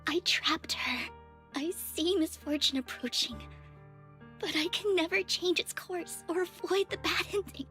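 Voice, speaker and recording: A guilt-ridden young woman from a video game, devoted and sorrowful. Her voice is high, soft and breathy, with faint music underneath. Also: a little slow, exaggerated voice. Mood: self-blaming sorrow. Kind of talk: dramatic video game voice acting.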